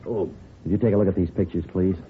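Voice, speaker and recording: An actor playing a terse, businesslike detective sergeant who narrates his case in a deadpan 1950s radio drama style. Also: sits low, fast talker, slight background noise, natural voice. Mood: firm. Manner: clipped, deadpan. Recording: dull vintage radio recording with music.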